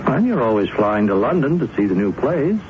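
An actor playing a sly, worldly publisher in a 1970s radio drama, coaxing in an insinuating, hesitant way. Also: male voice, medium pitch, big pitch swings, a little fast, natural voice. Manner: hesitant, insinuating, chuckling delivery. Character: sly, worldly male publisher, smoothly persuasive tempter. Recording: muffled, noisy old radio broadcast recording.

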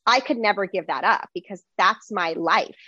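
A woman host in a casual conversation, chatty and animated, with lots of fillers. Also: mid-range voice, normal speed, slightly rough, no echo, lo-fi sound. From United States.

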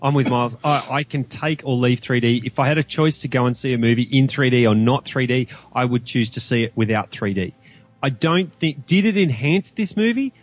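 A man's voice: medium pitch, a little fast. A man with an Australian accent, talking casually as a guest podcaster in a film review discussion.

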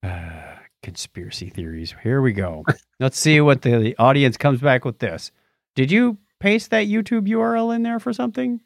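A man's voice: medium pitch, big pitch swings, normal speed, vocal fry, no room sound, clean studio sound. A man co-hosting a podcast in casual chat, explaining things in a geeky, enthusiastic way. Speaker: podcast co-host.

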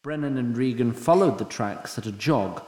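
An arch, formal male storyteller voiced by an actor narrating a fantasy audio drama. His delivery is lively and wry, and the sound is noticeably echoey. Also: mid-range voice, moderate pace, lightly acted.

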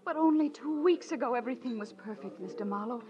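An actress playing an anxious, tearful, devoted wife with an American accent, earnest and plaintive, in a 1940s radio drama. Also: female voice, a little high, expressive, fast, darkish tone, natural voice. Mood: worried, defensive. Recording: dull old-time radio recording.

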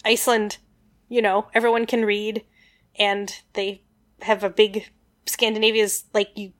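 A woman's voice: mid-range voice, little pitch movement, a little slow. A woman in a casual podcast chat, explaining history with lots of fillers and rambling asides.

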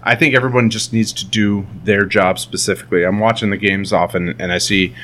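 A man's voice: on the low side, brisk. A man in casual podcast chat, rambling about soccer and acting out what players say. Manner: rambling, animated soccer talk with acted-out quotes.

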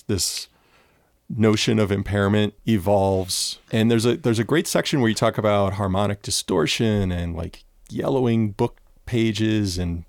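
A low-voiced man asks long, winding questions in a very dry, close sound. He stammers and restarts as he searches for his words. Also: darkish tone, no echo. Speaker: interviewer. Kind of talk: academic conversation.

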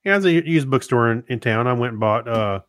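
A man's voice: mid-range voice, expressive, fast, no room sound. A man talking informally as a podcast co-host. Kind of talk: casual conversational chat.

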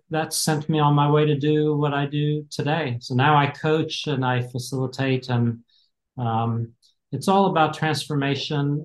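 An American man in his fifties talks things through slowly and reflectively as an interview guest, often pausing and restarting. His voice sits at an ordinary middle pitch. The sound carries a noticeable roomy echo. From United States. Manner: reflective, many fillers and restarts. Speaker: guest, executive coach. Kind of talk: casual interview talk.

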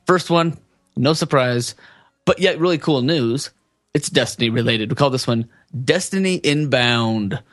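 An upbeat young man co-hosts a casual podcast. His voice sits at an average pitch and is recorded very dry, with an informal, chatty delivery as he runs through items. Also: fairly bright tone, no room sound. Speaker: podcast host. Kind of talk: casual podcast chat and news rundown.

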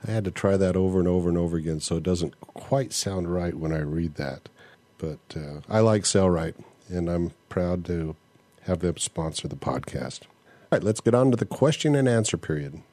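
A man hosting a casual podcast, talking in a relaxed, conversational way and reading listener emails aloud. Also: low-pitched, moderate pace. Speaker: podcast host. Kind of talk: casual solo podcast with ad read and listener mail.

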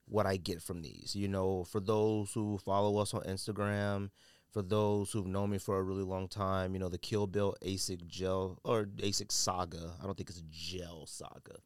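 Low-voiced American man, casual podcast host. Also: level tone, normal speed, clean tone, no echo, studio quality. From California, United States. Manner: informal, slangy, conversational. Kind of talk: casual solo podcast chat about sneakers.

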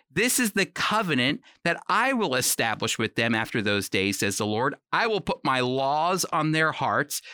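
Bright-voiced man teaching the Bible. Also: a little high, no room sound, clean studio sound. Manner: earnest, emphatic, uses rhetorical repetition. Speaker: radio Bible teacher and host. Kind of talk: conversational Bible teaching for radio.

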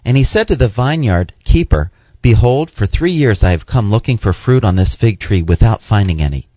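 A man's voice: lowish voice, animated, moderate pace, dry recording. A man co-hosting a radio program, making announcements and reading scripture aloud. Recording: dull, lo-fi broadcast audio.

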